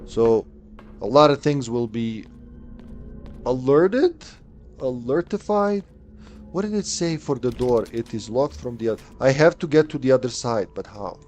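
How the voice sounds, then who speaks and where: A man's voice: medium pitch, animated, measured pace, a little mellow, soft background music. A man in his late thirties giving live commentary on a game, chatting, thinking aloud and answering chat. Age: late 30s. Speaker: streamer.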